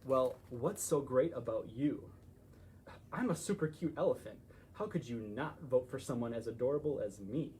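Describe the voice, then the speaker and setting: Man putting on a vain, animated cartoon elephant. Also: medium pitch, moderate pace, slight background noise, pitched below the performer's own voice, natural voice. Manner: coaxing, self-admiring. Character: vain, cutesy cartoon elephant rival candidate. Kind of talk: picture-book read-aloud.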